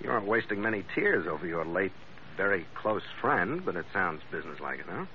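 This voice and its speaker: Cynical tough sea captain, clipped old radio adventure style, muffly noisy recording. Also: male voice, deep voice, very animated, a little fast, dull audio. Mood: cool, wry. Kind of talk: old-time radio adventure acting, hardboiled narration.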